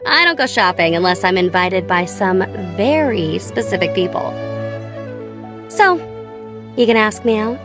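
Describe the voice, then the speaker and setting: An American actress voicing a playful, nonchalant young female gun-tech tinkerer in a video game, with a light, girlish, teasing delivery. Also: on the high side. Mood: breezy. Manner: teasing, breezy, casual. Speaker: voice actor for a video game character. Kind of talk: scripted game character voice lines. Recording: studio voice over background game music. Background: background music.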